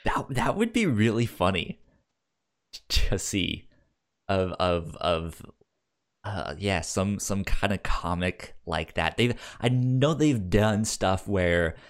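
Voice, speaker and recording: Stammering man with slow, lowish voice. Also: lively intonation. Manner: stammering, repeats sounds and words. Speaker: podcast co-host. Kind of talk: casual film discussion.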